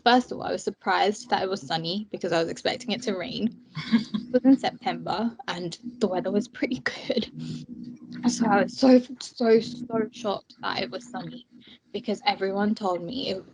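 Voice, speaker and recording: A woman's voice: medium pitch, moderate pace, darkish tone, slight background noise, low-quality recording. A young woman from Bangladesh, a student union president, chatting casually and laughing often as she tells her story. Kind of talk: casual chat while eating snacks. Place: indoors, student union room.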